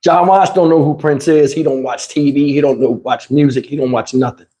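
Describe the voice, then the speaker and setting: A man telling personal stories in a casual, animated, slangy way, swearing freely and acting out what people said. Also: fairly high pitch, brisk. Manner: acts out dialogue and sound effects. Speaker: storyteller host. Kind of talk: animated casual personal storytelling with acted quotes.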